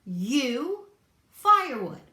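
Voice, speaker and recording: A grumpy, scruffy varmint creature, as a woman puts him on for a read-aloud, barking orders in a loud snarl. His voice has a bright, hard edge and sits in a roomy space. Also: fairly high pitch, very animated, slow, voice pushed up, exaggerated voice. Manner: snarling, bossy.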